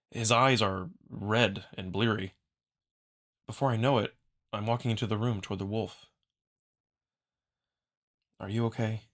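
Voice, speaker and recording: A man's voice: fairly low pitch, a bit bright. A man reading dramatic scripted first-person narration as the narrator of a visual novel.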